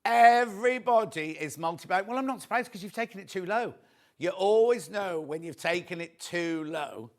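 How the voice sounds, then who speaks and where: A man hosting a live shopping show, chatty and friendly, reading out viewer messages with a slightly croaky voice. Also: high-pitched, animated, moderate pace. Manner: chatty, reads viewer messages aloud warmly. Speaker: shopping channel host.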